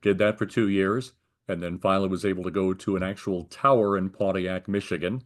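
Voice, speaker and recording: A man's voice: low-pitched, steady tone, a little fast. An older American man in a conversational interview, explaining his working life in careful detail. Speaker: retired air traffic controller being interviewed.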